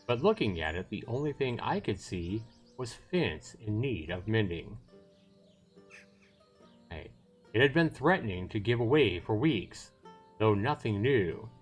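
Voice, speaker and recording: A man livestreaming a visual novel, reading it aloud and adding chatty commentary as he thinks aloud. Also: lowish voice. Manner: chatty, thinks aloud between read passages. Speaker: livestream host.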